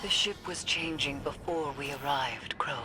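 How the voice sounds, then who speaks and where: An actor voicing a watchful machine companion in a video game, calm, precise and matter-of-fact. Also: male voice, on the high side, moderate pace, over loud music, natural voice.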